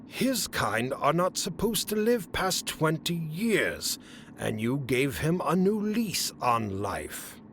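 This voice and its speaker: An actor playing a haughty, exasperated fae council official in a fantasy comedy audio drama, speaking formally and officiously. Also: male voice, a little high, very animated, slow pace, fairly bright tone, slight background noise, full character voice.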